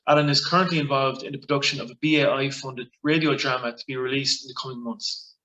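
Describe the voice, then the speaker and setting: Bright-voiced Irish man in echoey room. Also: medium pitch, fairly even intonation, fast talker, lo-fi sound. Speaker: event presenter. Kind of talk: scripted formal introduction.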